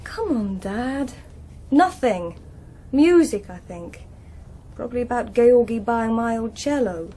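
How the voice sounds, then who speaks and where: An actress playing a breezy, defiant young British daughter in a radio drama, flippant, evasive and offhand. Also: female voice, fairly high pitch, animated, takes it slowly, dark tone, slightly rough, exaggerated voice. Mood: defensive. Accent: British English. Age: young adult.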